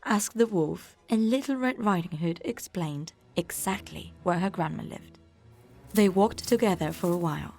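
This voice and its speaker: Female storyteller for children's fairy tales, mid-pitched, scripted narration over faint music. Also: normal speed, darkish tone.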